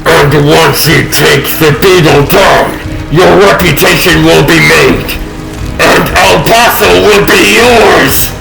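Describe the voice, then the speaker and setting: A man's voice: on the high side, slow, creaky, roomy sound, music in the background, heavily acted, rough recording. A man playing a grandiose, self-doubting male supervillain in a comic dub, with theatrical, ranting delivery. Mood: frantic self-urging.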